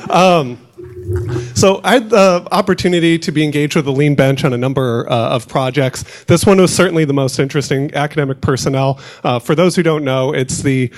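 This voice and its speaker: A man's voice: fairly high pitch, a little fast. Man presenting with many fillers. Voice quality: loud voice. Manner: storytelling, many fillers and restarts. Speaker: conference presenter. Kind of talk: conference presentation.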